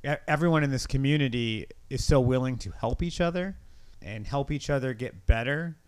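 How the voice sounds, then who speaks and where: Clear, dry-sounding middle-aged man chatting. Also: medium pitch, fairly quick, a little mellow, dry recording. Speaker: podcast host. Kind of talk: casual podcast chat.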